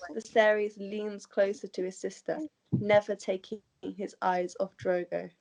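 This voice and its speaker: A woman's voice: medium pitch, level tone, measured pace, creaky, darkish tone, rough recording. A woman narrating the stage directions of a script at an amateur table read.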